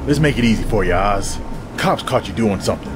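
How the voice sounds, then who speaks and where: A man's voice: lowish voice, animated, brisk, music in the background, lightly acted. A man acting an earnest, dogged police detective in an audio drama, hard-boiled and pressing.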